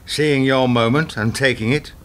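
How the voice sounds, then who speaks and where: An actor in a radio play, playing a menacing, controlling middle-aged fixer in a deliberate, condescending, sardonic manner. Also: male voice, mid-range voice, fairly bright tone, lightly acted. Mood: smug, menacing.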